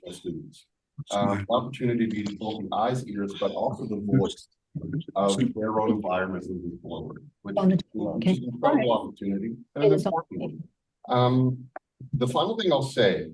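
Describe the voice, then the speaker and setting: A man with a projecting voice giving an earnest, halting prepared speech as a school leader at a community event. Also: fairly low pitch, a little mellow. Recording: distant microphone in a room.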